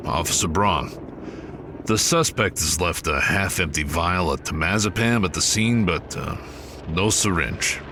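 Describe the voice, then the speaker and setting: A male homicide detective in charge, in an audio drama. His voice is low and unhurried, probing with quiet authority, over clear scene ambience. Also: background noise. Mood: pondering, authoritative. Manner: hesitant pondering, authoritative.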